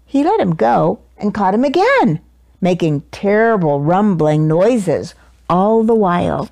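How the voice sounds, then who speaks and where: A woman's voice: mid-range voice, sing-song, gravelly, darkish tone, dry recording. Older woman reading a storybook, dry sound. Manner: deliberate, dramatic emphasis for young listeners. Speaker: children's story reader. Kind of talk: expressive picture-book read-aloud. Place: small living room, indoors. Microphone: lapel mic.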